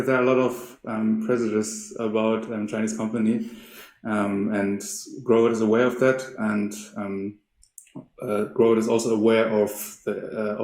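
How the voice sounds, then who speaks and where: A man presenting in a webinar as a company representative, speaking in a halting, hesitant way. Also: lowish voice, darkish tone. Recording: roomy, echoey sound.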